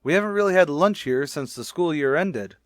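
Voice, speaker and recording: A man's voice: a little high, animated, brisk, no echo, lightly acted. Man playing a shy, earnest bachelor, dry sound. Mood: bashful, earnest. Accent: American. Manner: hesitant, earnest, literal-minded. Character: shy, naive, earnest bachelor schoolteacher, easily flustered. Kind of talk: vintage radio sitcom acting.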